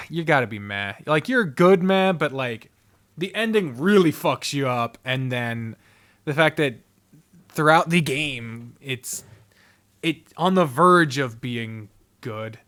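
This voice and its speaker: A man giving casual, off-the-cuff ranking commentary in an animated way, speaking straight to the things being ranked and swearing freely. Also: mid-range voice, slow pace. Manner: animated, addresses the games directly, swears freely. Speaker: video host ranking visual novels.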